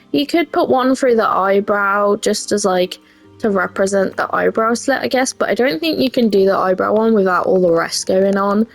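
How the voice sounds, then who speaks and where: A woman's voice: medium pitch, vocal fry. A young woman with a head cold and a congested voice, chatting casually and rambling a little while she makes a game character. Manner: chatty, self-deprecating, rambling with fillers. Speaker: gaming creator. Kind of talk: casual gameplay commentary.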